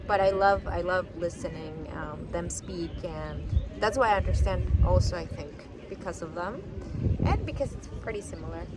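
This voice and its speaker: A woman's voice: on the low side, moderate pace. Chatty Spanish-speaking woman, street noise. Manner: chatty, many fillers like "like" and "you know". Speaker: podcast host. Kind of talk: casual interview chat.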